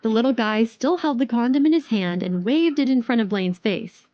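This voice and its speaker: A woman's voice: on the high side, normal speed, no echo, muffled recording. A woman narrating an audio drama from a script as its storyteller.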